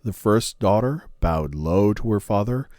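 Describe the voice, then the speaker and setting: A man narrating a fairy tale as a storyteller, measured and solemn. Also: lowish voice, lively intonation, a little mellow, no echo, clean studio sound.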